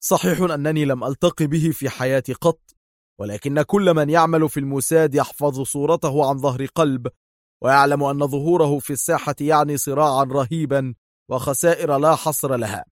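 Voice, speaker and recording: A man's voice: mid-range voice, a little fast, no echo, studio quality, natural voice. A man playing a hot-tempered, suspicious agent in a spy story, nervous, indignant and argumentative. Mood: agitated. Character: hot-tempered, suspicious male foreign agent, easily outraged.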